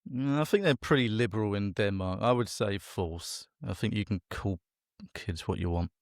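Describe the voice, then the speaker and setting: A man's voice: fairly low pitch, normal speed, dry recording, studio quality. A man playing along as a quiz contestant in casual banter, joking and mock-defensive. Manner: joking, defensive, jokey guesses.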